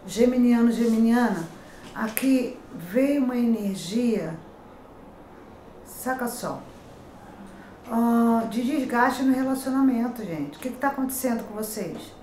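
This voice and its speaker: A woman's voice: fairly high pitch, a little slow, dark tone. A middle-aged Brazilian woman with a Rio accent reading daily horoscopes to camera, warning and advising in a chatty manner, calling out each sign. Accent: Rio de Janeiro Portuguese. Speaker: tarot reader and horoscope host. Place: pink-walled room at a table with cards. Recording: echoey room, faint noise.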